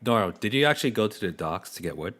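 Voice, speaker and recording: A man working as game master, improvising casual narration in a halting, easygoing, filler-heavy way. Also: on the low side, expressive, a bit bright.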